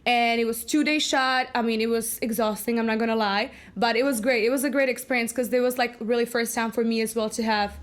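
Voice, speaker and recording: A woman's voice: fairly high pitch, steady tone. Chatty young woman with Czech accent. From Czech Republic. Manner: chatty, laughs often, quick rambling asides. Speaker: podcast guest, singer. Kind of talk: casual podcast chat.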